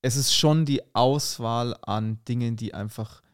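A man from Munich with a Munich accent and a rolled R, a podcast guest who talks in a relaxed, thoughtful way, hedging a lot. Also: medium pitch, measured pace, a crowd in the background. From Munich, Germany. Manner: relaxed, thoughtful, many hedges. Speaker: podcast guest, stand-up comedian. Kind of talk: casual podcast chat.

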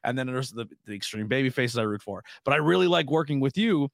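A man's voice: medium pitch, lively intonation, fast, creaky, no room sound, clean studio sound. A man hosting a casual podcast interview, telling stories in an easygoing way. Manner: easygoing, storytelling, laughs between points. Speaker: podcast host and wrestling color commentator.

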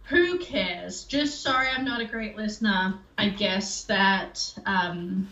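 A woman's voice: medium pitch, slow pace, bright, forceful tone, roomy sound, dull audio. A woman co-hosting a podcast from home, chatting in a laid-back, blunt, self-deprecating way. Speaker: podcast co-host. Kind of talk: casual chat.

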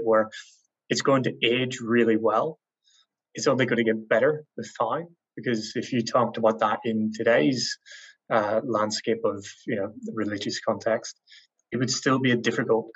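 A man's voice: on the low side, husky, highs cut off. An Irish man thinking aloud in a casual discussion, hesitant and full of fillers. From Ireland. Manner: thoughtful, hesitant with many fillers. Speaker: guest.

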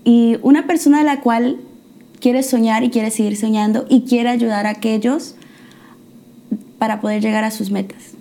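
Soft-spoken young woman telling her story. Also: on the high side, steady tone, moderate pace, creaky. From United States. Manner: hesitant, many fillers and restarts. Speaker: guest. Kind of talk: testimony interview.

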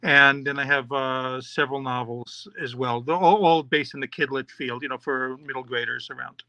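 Middle-aged man, guest podcaster; bright, slightly high voice, chatty and rambling, dry close mic. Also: steady tone, normal speed, dry recording, rough recording. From New York. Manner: chatty, rambling, many fillers and restarts. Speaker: podcast host and children's author. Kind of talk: casual interview answers.

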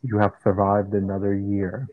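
A man co-hosting a casual chat podcast, talking loud in a flat monotone. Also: fairly low pitch, moderate pace. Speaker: podcast co-host. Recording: tinny phone-like line.

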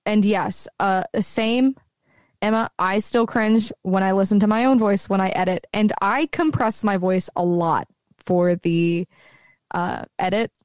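A woman co-hosting a podcast with a voice that sits low for a woman, talking at an easy middle pitch. She chats in a relaxed, friendly way. The sound is dry and close, with no room around it. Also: measured pace, clean tone, no room sound. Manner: relaxed, chatty, laughs easily. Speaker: podcast co-host. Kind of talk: casual chat. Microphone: own microphone with bass roll-off.

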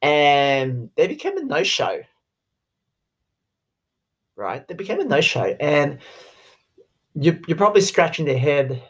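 A man's voice: fairly high pitch, big pitch swings, slow pace, long pauses. A man in his twenties with an Australian accent, talking through a casual podcast monologue in a rambling way, full of "you know" fillers. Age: 20s. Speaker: podcast host, sales rep.

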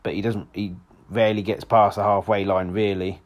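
A man with a hoarse voice, hosting a solo football podcast in a rambling, casual way with lots of restarts. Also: fairly low pitch, little pitch movement, normal speed. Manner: rambling, frequent restarts and fillers.

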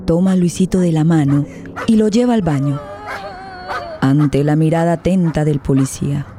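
An actress playing a grave narrator in a tragic radio play, solemn and measured in her descriptions. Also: sits low, over music, natural voice. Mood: grave, tense.

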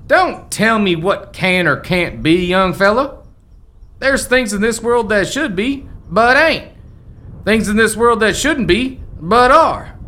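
Man playing an ornery old rancher ghost, swooping high. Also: expressive, takes it slowly, pushed and bright, heavily acted. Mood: blustering, folksy. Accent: American. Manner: folksy, blustering frontier talk. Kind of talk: radio play acting.

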